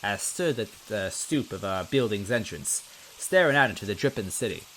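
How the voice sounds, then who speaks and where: A man giving an expressive, conversational first-person narration as he reads a story aloud. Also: lowish voice, normal speed, slight background noise, low-quality recording. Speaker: story reader.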